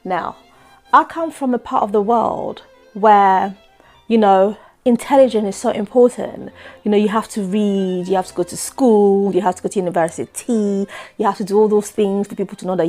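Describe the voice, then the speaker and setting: A middle-aged woman, a life coach hosting her own show, talking earnestly and often saying "you know". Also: mid-range voice. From Democratic Republic of Congo. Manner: earnest, repeats words, frequent "you know". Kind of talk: talk-show monologue.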